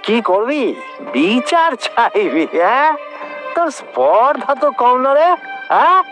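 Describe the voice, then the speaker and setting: A greedy, violent husband sneers and taunts with wide swings of pitch. He drags out his repeated words over background music in a melodramatic audio drama. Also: animated, takes it slowly, heavily acted. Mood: angry, taunting.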